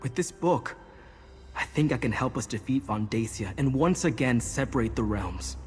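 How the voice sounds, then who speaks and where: A man's voice: medium pitch, expressive, moderate pace, lightly acted. An actor playing an earnest, resolute young man in a video game, explaining things intently. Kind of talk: video game character acting. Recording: game music behind the voice.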